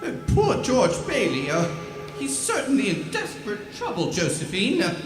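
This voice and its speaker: A woman's voice: mid-range voice, huge pitch swings, normal speed, music in the background. A woman playing an eager, kindly, naive apprentice angel in a live radio play, hesitant and fluttery. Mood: anxious, eager. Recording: roomy live stage recording.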